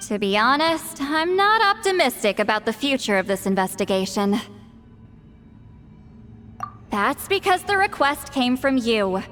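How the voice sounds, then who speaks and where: A woman's voice: high voice, very animated, moderate pace, a bit bright. An actress playing a blunt, aloof shaman woman in a video game, curt and dry in manner. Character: ancient but youthful-sounding shaman woman, blunt, aloof, prickly. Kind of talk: English video game voice acting. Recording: faint background music under the voice.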